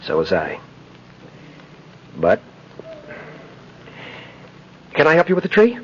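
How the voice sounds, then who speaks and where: An actor playing an idealistic, persuasive lawyer, earnest and emphatic, in 1950s radio drama style. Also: male voice, a little high, wildly swooping pitch, moderate pace. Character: idealistic, persuasive young male defense lawyer. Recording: noisy, dull 1950s radio recording.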